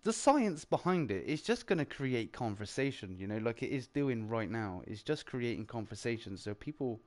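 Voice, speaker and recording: A man's voice: mid-range voice, normal speed. Chatty British man laughing through stream talk. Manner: animated, chatty, laughs often, heavy filler "like". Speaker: streamer and show host. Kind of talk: casual round-table chat.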